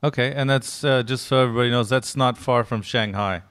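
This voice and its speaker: Mid-pitched man interviewing casually. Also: brisk, a bit bright. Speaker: podcast interviewer.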